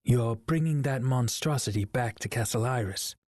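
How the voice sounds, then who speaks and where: A man's voice: medium pitch, moderate pace, a little mellow, no room sound, studio quality, close to an everyday voice. An actor playing a king's worried, sensible twin brother in a game cutscene, speaking in an earnest, cautious manner. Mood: alarmed. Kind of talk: dramatic video game cutscene acting.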